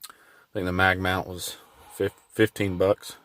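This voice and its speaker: A man giving a casual, unscripted how-to vlog in a laid-back, folksy, halting way. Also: low voice, flat delivery, fairly bright tone. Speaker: hobbyist vlogger showing his truck radio install. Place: in and around a pickup truck in a driveway.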